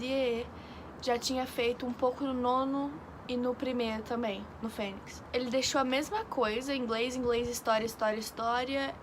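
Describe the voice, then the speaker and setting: A young woman from Brazil, an exchange student, telling a rambling story in casual chat with lots of fillers. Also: high voice. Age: young adult. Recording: noisy background.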